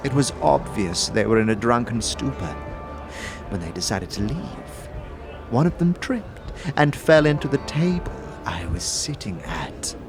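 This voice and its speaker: A man's voice: medium pitch, sing-song, unhurried. Man voicing a gleeful, haughty elven noblewoman, swooping intonation. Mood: disdainful, indignant. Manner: theatrical, disdainful, vivacious. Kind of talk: fantasy audio drama dialogue. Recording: music behind the voice.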